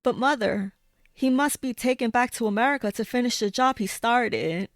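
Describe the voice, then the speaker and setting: A woman's voice: a little high, moderate pace, natural voice. A woman playing an earnest, headstrong young Amazon princess in 1940s radio serial style, pleading in manner, with a distant reverberant sound. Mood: earnest, wistful.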